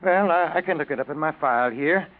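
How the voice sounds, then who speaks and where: A man's voice: a little high, quick pace, natural voice. Man playing courteous, flustered bank manager, tinny radio. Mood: flustered, deferential. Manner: courteous, hesitant, stammering. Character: polite, fussy male bank manager, deferential and unsure. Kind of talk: 1940s radio drama acting. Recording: thin, band-limited 1940s radio recording.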